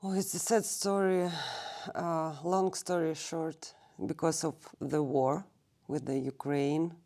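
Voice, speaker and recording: A woman in her thirties from Russia, a native Russian speaker, telling her life story in an interview at a library and pausing to search for English words. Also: sits low, steady tone, warm tone. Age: 30s. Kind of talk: recorded oral history interview. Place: public library.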